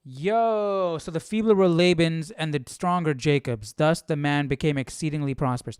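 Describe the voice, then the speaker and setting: Guy hosting a live bible study, smooth clear voice, slangy, thinking out loud as he reads, dry sound. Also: a little high, normal speed, no room sound. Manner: informal, slangy, puzzling aloud. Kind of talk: casual live study chat, reading aloud and thinking out loud.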